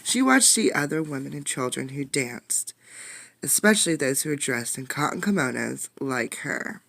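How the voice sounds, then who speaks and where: A woman's voice: extremely low pitch, normal speed, gravelly. Woman reading a picture book aloud to children. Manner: expressive, explains words and asks listeners questions. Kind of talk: interactive children's read-aloud.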